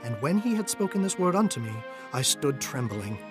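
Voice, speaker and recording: Man acting an awed biblical prophet, reverent, solemn, measured, medium pitch, scripture drama, music behind. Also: very animated, natural voice. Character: awed, trembling male prophet recounting a holy vision.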